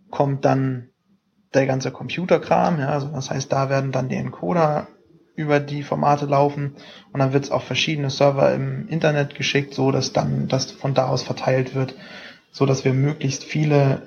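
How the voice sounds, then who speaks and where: A young man co-hosting a casual podcast, halting, with many fillers and restarts, and a husky voice. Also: mid-range voice, darkish tone, a little hiss or hum. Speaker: podcast co-host. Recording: dull lo-fi home podcast recording.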